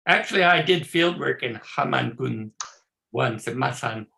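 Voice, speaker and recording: Older man, professor, bright, gravelly, relaxed chatty tone, video call. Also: mid-range voice, moderate pace. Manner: relaxed, chatty, laughs easily. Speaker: professor, guest speaker. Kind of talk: casual chat before a talk.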